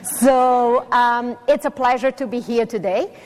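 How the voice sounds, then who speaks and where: A middle-aged Brazilian woman with a Portuguese accent addresses a live audience, chatty and self-deprecating, pausing on fillers. Her voice sits fairly high for a woman. The long reverb of a conference hall trails after each phrase. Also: darkish tone. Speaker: conference speaker. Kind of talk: personal talk to a live audience.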